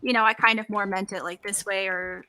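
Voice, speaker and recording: A woman's voice: medium pitch, steady tone, a little fast, pushed and bright, a little hiss or hum. A woman being interviewed, chatting informally with lots of slang and casual swearing. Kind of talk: casual chat.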